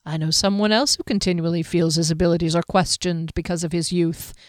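A woman's voice: on the low side, steady tone, dry recording, natural voice. Fantasy audiobook role: male band leader, read by a woman, composed, careful speech, dry wit. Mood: careful, reassuring. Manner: careful, measured, dryly amused. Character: wry, composed male leader, measured and formal.

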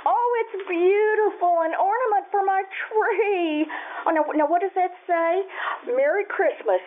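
A woman's voice: very high-pitched, unhurried. A woman playing a friendly, gossipy 1950s store clerk in an old-time radio play, full of folksy exclamations. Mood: gushing, chatty. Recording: tinny, hissy phone-like radio sound.

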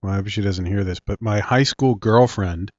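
A man's voice: on the low side, moderate pace, no echo. A man in his late forties with a Philadelphia accent, a guest telling stories in a dry, facetious way while congested from a sinus infection. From Philadelphia area, US. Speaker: guest, director and writer. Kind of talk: casual interview chat. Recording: dry, dull lo-fi sound.

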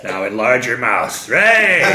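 Sweary deadpan man, echoey room. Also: fairly high pitch. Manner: sweary, deadpan absurd riffs. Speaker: comedy podcast co-host. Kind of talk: absurd improvised comedy chat. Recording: echoey room, faint noise.

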